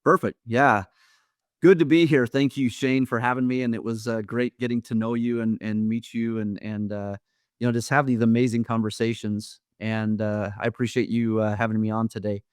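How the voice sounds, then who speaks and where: A man from Idaho speaks as a podcast guest, earnest and a little halting. He often restarts his sentences and leans on fillers, and the recording sounds dry and close. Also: mid-range voice, fairly even intonation, smooth, no echo. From Idaho, USA. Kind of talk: storytelling interview.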